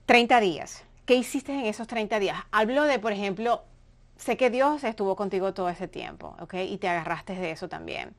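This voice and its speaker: A woman's voice: medium pitch, normal speed. A woman hosting an interview, who encourages her guest and sums up what she has said. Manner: encouraging, recaps the guest's words. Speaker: podcast host.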